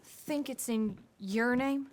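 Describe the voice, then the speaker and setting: A woman's voice: on the high side, takes it slowly, a little mellow, a little hiss or hum. An actress playing a hardened American frontier wife and mother, frank and rural in speech, in a game drama. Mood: teasing. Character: hardened, plain-spoken frontier wife and mother, fed up yet hopeful. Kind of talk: naturalistic video game drama acting.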